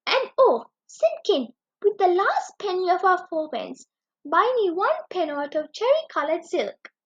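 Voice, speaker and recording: A woman's voice: very high voice, very animated, a little slow, full character voice. A woman voicing a poor, weary male tailor who frets and mutters in a storybook reading. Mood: weary, pleading. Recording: dull lo-fi recording.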